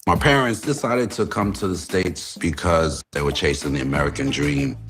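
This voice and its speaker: A man from Jamaica telling his life story in a documentary interview, relaxed, with many "you know"s. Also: rumbling low, moderate pace. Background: music bed.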